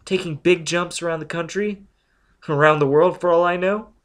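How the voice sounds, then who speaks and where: A prim, high-strung young woman in a storybook, as a male reader puts her on, speaking high and quick with worry. She sounds lonely and easily frightened, her voice lifting sharply when upset. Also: sing-song, fairly bright tone. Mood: indignant. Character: young woman, a lonely nervous telepath teacher.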